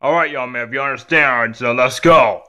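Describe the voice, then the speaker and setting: A man's voice: medium pitch, moderate pace, pushed and bright, pitched below the performer's own voice, natural voice. A man playing a stern, weary father who scolds and admonishes his young son in a homemade story.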